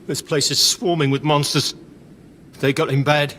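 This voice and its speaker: High-pitched, frightened survivor. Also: male voice, flat delivery, moderate pace, pushed and bright. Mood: panicked. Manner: urgent, panicky. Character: frightened, desperate male survivor fleeing monsters. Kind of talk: video game character acting.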